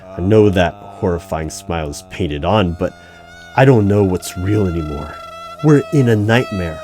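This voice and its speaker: A man playing an unnerved, exasperated hiring manager in a one-sided audio roleplay, with halting speech that repeats words. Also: low-pitched, animated, darkish tone, faint music behind. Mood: exasperated, pleading.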